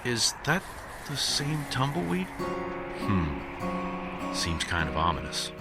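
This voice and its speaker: A man's voice: fairly low pitch, wildly swooping pitch, slow, over loud music, heavily acted. A man playing a naive, chipper, polite would-be cowboy with an American accent in a comic western audio drama. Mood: wary.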